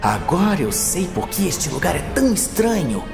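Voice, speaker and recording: A man's voice: on the high side, very animated, normal speed, creaky, echoey room, pitched above the performer's own voice. Man playing a confident, taunting archmage over music. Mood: wary. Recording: music behind the voice.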